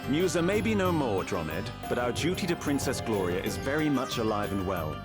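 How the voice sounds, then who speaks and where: An actor playing a composed, dutiful young prince in a game, speaking formally and graciously. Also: male voice, medium pitch, sing-song, over loud music, close to an everyday voice. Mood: firm. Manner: formal, measured, firm. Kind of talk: dramatic video game voice acting.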